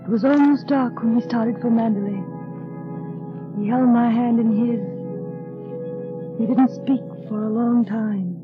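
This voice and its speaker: Actress as gentle young wife, highish voice, earnest wistful 1930s radio style, music behind, muffled old broadcast. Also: female voice, fairly even intonation, unhurried, pauses often, natural voice. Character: gentle, anxious young wife narrating her memories. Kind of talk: 1930s radio drama narration and acting.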